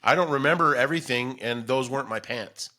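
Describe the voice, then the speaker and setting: A man with a very bright, forward voice and middling pitch hosts a podcast. He banters casually in a jokey, exclamatory manner, like someone swapping stories with a co-host. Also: brisk.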